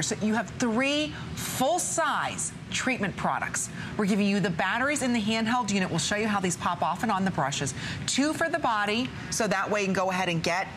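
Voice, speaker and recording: A woman in her forties hosting a TV shopping show, pitching a skincare kit from a studio set. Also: noisy background. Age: 40s. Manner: upbeat sales pitch, lists product contents. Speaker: shopping channel host. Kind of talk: TV shopping presentation.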